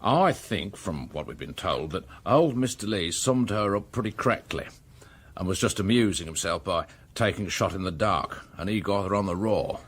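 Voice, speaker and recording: Plain-spoken English superintendent; low register, widely varied pitch, deliberate radio drama detective. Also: male voice, expressive, husky. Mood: reporting, businesslike. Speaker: actor in a detective radio play. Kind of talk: British radio drama acting.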